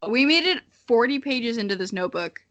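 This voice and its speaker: A young woman with a bright, forward voice co-hosts a podcast. She talks in a casual, chatty way and is self-deprecating and giggly, with a loose tongue for swearing. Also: a little high, animated, a little fast. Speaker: podcast co-host. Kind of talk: casual chat.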